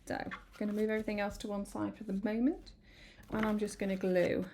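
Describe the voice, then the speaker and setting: A woman chatting through a card-making tutorial, a little croaky from hay fever, rambling and apologetic with many ums. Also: medium pitch, little pitch movement, a little fast, darkish tone, a little hiss or hum. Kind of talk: casual craft tutorial. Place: home craft room.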